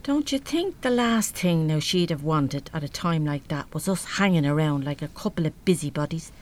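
Blunt, low-voiced older Irish mother. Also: animated, normal speed. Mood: gruff, exasperated. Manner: blunt, wry, grumbling. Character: blunt, weary, no-nonsense older working-class mother. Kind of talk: live radio play acting.

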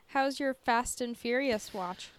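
A woman's voice: a little high, clear, resonant tone, no echo. A woman podcast co-host in casual chat, asking questions and reacting in an informal, exclaiming way.

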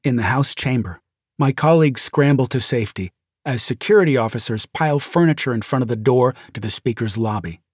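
A man with an American accent reads a nonfiction audiobook in a measured, grave and deliberate way, with dramatic weight. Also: mid-range voice, a little mellow, dry recording, studio quality. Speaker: audiobook narrator. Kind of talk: dramatic scripted audiobook narration of a nonfiction memoir. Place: dry recording studio. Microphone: close studio microphone.

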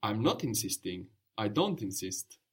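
A man's voice: on the low side, very animated, a little slow, breathy edge. A man teaching a language lesson, patiently prompting his student with questions and brief praise.

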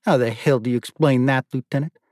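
A man voicing an authoritative middle-aged army colonel who talks in a commanding, booming way. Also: quick pace, darkish tone, no echo, studio quality, natural voice. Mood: stern.

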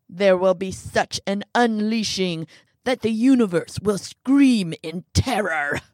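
A woman voicing a bitter, defiant old man who rants with slow menace. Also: medium pitch, gravelly, a little mellow, no echo, full character voice. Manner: slow, growling and vengeful, rising to rants.

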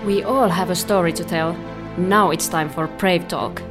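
A woman's voice: on the low side, moderate pace, over music. A woman, hosting an entrepreneurship podcast and introducing and interviewing guests. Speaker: podcast host.

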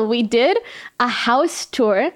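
A young woman with a high voice, chatting casually and giggling as she hosts a guest on her stream. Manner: bubbly, giggly, talks with "like" a lot. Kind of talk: casual chat while eating on stream.

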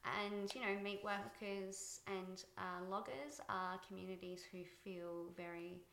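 A soft-spoken woman being interviewed about her directing work, thoughtful and pausing with fillers. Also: mid-range voice, fairly even intonation, roomy sound. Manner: thoughtful, many fillers and restarts. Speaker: guest, TV director. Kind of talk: reflective interview talk.

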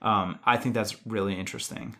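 A man's voice: lowish voice, steady tone, a little fast, bright, forceful tone. A man co-hosting a podcast, talking casually and analytically about film and swearing freely. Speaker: podcast co-host. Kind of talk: casual film discussion chat.

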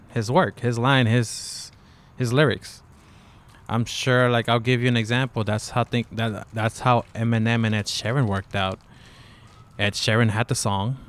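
A man co-hosting a casual podcast, talking in halting stretches full of fillers and restarts. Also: lowish voice, clean tone. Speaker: co-host. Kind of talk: casual chat.

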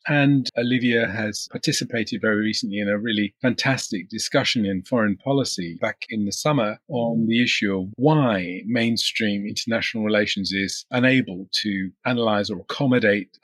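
A man hosting an academic interview, speaking in a measured, articulate way with long formal sentences. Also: medium pitch, a bit bright, husky, dry recording.

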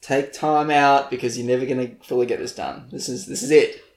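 A man's voice: mid-range voice, steady tone, a little fast. A young man co-hosting a podcast in casual chat, rambling, laughing and poking fun at himself. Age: young adult. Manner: rambling, laughing, self-mocking. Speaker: podcast co-host. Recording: echoey room.

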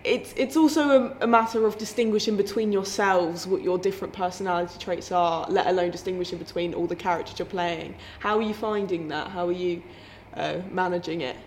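A woman's voice: lowish voice, steady tone, brisk, few pauses, a little hiss or hum. A woman interviewing theatre cast members in a chatty way, with frequent affirming murmurs. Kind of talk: casual interview.

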